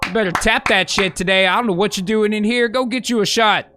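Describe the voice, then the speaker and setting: High, bright-voiced American man rambling. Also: fast talker, faint music behind. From United States. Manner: rambling, profane, thinks aloud. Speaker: host. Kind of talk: casual livestream commentary.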